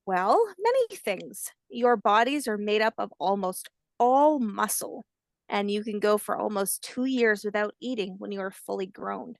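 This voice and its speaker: A woman voicing a kindly, patient old grandmother alligator, telling facts in a gentle storybook manner. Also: medium pitch, lively intonation, a little slow, vocal fry, dry recording, clean studio sound. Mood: proud, instructive. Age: older.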